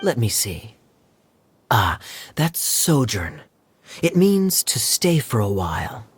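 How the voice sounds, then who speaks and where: A man's voice: medium pitch, big pitch swings, slow pace, husky, full character voice. An actor playing a suave, wry young male adventurer in game dialogue, with a playful, knowing manner. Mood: gentle, instructive.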